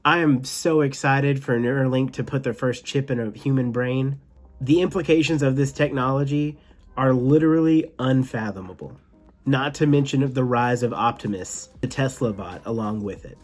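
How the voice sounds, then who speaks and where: A man's voice: medium pitch, normal speed, faint music behind. A man hosting a tech news show, giving enthusiastic, partly scripted commentary with some casual asides.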